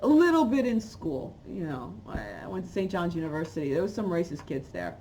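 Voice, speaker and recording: A woman, talking straight to a webcam from a room at home in an opinionated vlog, jumping from loud exclamations to muttered asides. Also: fairly quick. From Haiti, raised in New York. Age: 40s. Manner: animated, swings from loud exclamations to low asides. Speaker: channel host. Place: home room with bookshelves, indoors. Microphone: webcam at close range.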